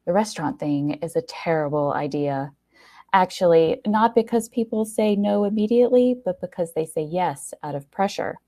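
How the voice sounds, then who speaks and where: A woman tells a first-person story in a low, mellow voice with plenty of fry. Her reading is expressive and wry, and she leans on pauses for drama. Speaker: reader of a short story.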